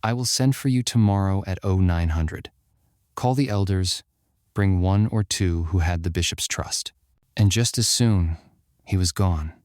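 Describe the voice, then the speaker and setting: A man reading scripted fiction as a narrator, voicing dialogue in a measured, dramatic storytelling manner. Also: low-pitched, darkish tone, dry recording, studio quality. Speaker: audiobook narrator.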